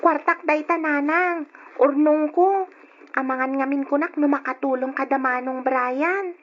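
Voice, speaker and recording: High-voiced sweet young daughter, radio drama. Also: female voice, a little slow, slight background noise. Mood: sweet, earnest. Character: sweet, considerate young daughter, childlike and eager. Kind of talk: old radio drama acting in Ilocano. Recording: muffled old radio drama recording.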